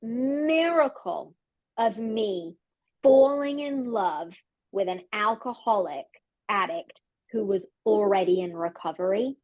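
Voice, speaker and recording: A woman's voice: medium pitch, animated, slow pace, no echo, lo-fi sound. A woman with an English accent, a guest coach talking about her life in an earnest, reflective way, often restarting her phrases. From London. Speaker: podcast guest, leadership coach. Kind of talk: casual conversation.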